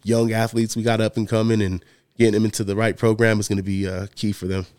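A man co-hosting a casual podcast, talking as a football coach in a relaxed way, dropping "man" and "dude" often. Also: lowish voice, fast, clean tone, no echo. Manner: laid-back, peppers speech with "man" and "dude". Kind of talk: casual chat.

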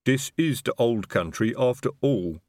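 A man voicing a big, blunt male troll bodyguard with rough grammar and th said as d or t, in a storybook reading. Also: mid-range voice, animated, unhurried, darkish tone, no echo, clean studio sound, voice pushed up, lightly acted. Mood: calm, matter-of-fact.

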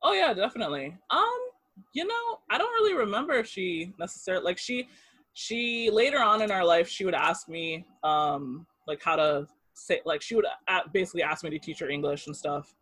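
Dry-voiced man, halting and laughing. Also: high-pitched, animated, sharp tone, husky, no echo. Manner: halting, lots of fillers and restarts, laughs easily. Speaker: interviewee. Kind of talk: casual storytelling interview.

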